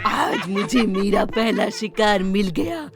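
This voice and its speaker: A woman's voice: medium pitch, expressive, slow, music in the background. A woman playing a gloating, menacing witch in a cartoon, speaking in a theatrical, threatening way.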